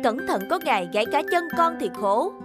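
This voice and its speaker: An actress playing a blunt, disapproving middle-aged neighbor who chides in a cartoon. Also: female voice, sits high, sing-song, fairly quick, music in the background. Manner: chiding. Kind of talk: Vietnamese children's cartoon dubbing.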